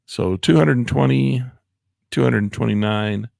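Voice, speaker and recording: A man's voice: medium pitch, moderate pace, creaky, dry recording, clean studio sound. A man hosting a live trading session, rambling and correcting himself as he talks through charts, his voice congested from allergies and a cold. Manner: rambling, self-correcting, excited about the market. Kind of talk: live screen-share trading commentary.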